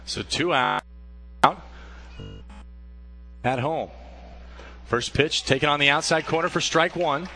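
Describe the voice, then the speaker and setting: A man doing radio play-by-play for a baseball game, calling pitches in a steady flow that lifts when a play unfolds. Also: slow pace, pauses often. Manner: steady calls, rising excitement on plays. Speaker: play-by-play announcer.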